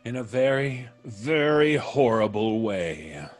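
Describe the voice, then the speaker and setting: A vengeful ex-convict from an old radio thriller, savoring every threat in slow, florid phrases. His delivery swings widely between soft gloating and theatrical menace, with music faintly underneath. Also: mid-range voice, sing-song. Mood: ominous. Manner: theatrical, drawn-out, florid diction. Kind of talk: old-time radio drama acting.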